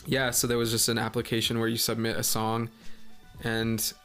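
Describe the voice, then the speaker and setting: A young man with a breathy voice, a musician chatting casually as a podcast guest and telling stories with lots of filler words. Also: mid-range voice, completely flat delivery, normal speed, a bit bright, soft background music. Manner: chatty, filler-heavy storytelling.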